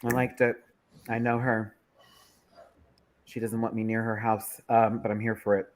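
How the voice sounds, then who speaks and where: A man in his thirties hosting a panel show, chatting in a campy way with quick asides about runway looks. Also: lowish voice, level tone. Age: 30s. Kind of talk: casual panel chat reviewing runway looks.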